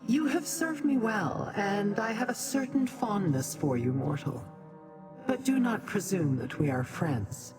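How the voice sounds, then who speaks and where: An actress playing a proud, secretive demon in a video game, haughty, formal and deliberate. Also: female voice, mid-range voice, lively intonation, close to an everyday voice, rough recording. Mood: aloof, measured. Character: proud, aloof demon schemer, secretive and self-serving. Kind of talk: video game character acting. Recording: music behind.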